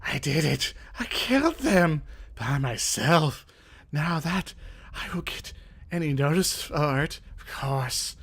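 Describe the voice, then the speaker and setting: An eager young game sorceress, acted out by a man, with a raised pitch that swings about. She is proud and impatient, insisting on her talents and hungry for a fight. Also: animated, slow pace, a bit bright, breathy edge, heavily acted. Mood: breathless pride.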